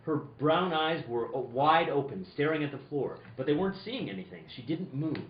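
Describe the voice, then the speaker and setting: A man's voice: fairly high pitch, lively intonation, moderate pace, lightly acted. A man acting as a hard-boiled, earnest insurance investigator in an old-time radio detective play, with theatrical, slightly stumbling reading. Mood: wry narrating. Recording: roomy, dull, slightly noisy distant recording.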